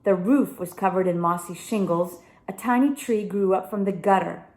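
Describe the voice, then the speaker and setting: A woman reading a children's novel aloud with expressive narration. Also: mid-range voice, moderate pace, echoey room. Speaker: story reader.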